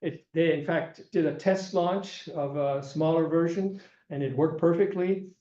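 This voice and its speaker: An older male lecturer speaks in a slightly high voice, stopping and repeating himself and clearing his throat as his voice gives out. The sound is muffled and lo-fi, carrying the echo of a lecture room. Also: unhurried, dark tone. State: losing his voice. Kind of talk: classroom lecture. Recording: echoey room, dull lo-fi sound.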